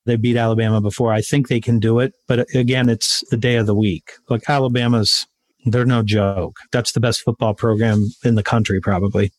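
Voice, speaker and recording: A man's voice: medium pitch, level tone, fairly quick, no echo. A man speaking as a podcast guest, emphatic and motivational, repeating his main points. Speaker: guest, sales author. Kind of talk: interview conversation.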